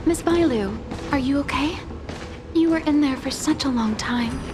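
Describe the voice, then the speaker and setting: A woman's voice: high-pitched, normal speed, over music, close to an everyday voice. A woman voicing a deferential female attendant in a fantasy game, formal and solicitous. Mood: concerned, reassuring.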